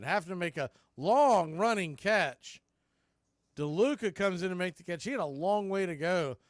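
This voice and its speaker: A man calling baseball play-by-play as a radio announcer, in clipped, folksy phrases that range from quiet to excited. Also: fairly high pitch, no room sound, clean studio sound. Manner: swings from hushed calls to excited shouts. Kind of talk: live radio play-by-play commentary.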